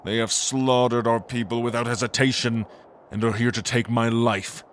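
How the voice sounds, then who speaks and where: A man's voice: mid-range voice, steady tone, normal speed, a little hiss or hum, close to an everyday voice. An actor playing a cold, manipulative angel lord and father in a fantasy audio drama, speaking in a grandiose, condescending way.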